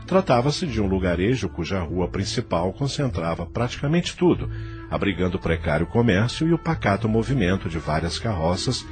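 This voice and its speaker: Deep, expressive Brazilian male narrator. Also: normal speed, soft background music. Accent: Brazilian Portuguese. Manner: theatrical, literary storytelling. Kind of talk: dramatized scripted narration of a novel.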